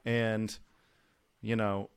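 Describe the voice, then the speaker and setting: A man hosting a casual interview, musing aloud in a relaxed way and often breaking off mid-sentence. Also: on the low side, very slow, gravelly, clean tone. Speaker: podcast host.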